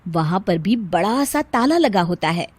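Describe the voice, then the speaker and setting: A woman narrates a cartoon tale with expressive, animated intonation. The delivery is slow and deliberate, and the sound is dry and studio-close. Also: mid-range voice, breathy edge, dry recording. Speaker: story narrator. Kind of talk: scripted cartoon story narration.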